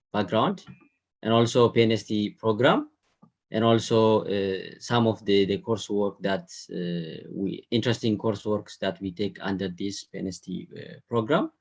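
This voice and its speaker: A man from Ethiopia, in his thirties, walks through his slides on a video call. His voice sits fairly low. He stops often to fill gaps with a hesitant "uh". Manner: hesitant, many "uh" fillers. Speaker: webinar presenter. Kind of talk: slide presentation.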